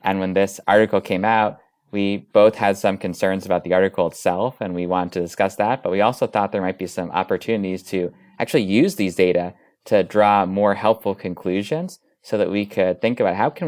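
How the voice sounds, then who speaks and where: Deep-voiced man explaining as a guest. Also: a little mellow, no echo, clean studio sound. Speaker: dermatologist, interview guest. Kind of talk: explanatory interview talk.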